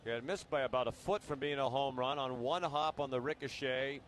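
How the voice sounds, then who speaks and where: A man's voice: mid-range voice, fairly quick, fairly bright tone. Male baseball commentator with mid-range voice, faint noise. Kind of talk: live sports commentary. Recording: live ballpark broadcast, faint noise.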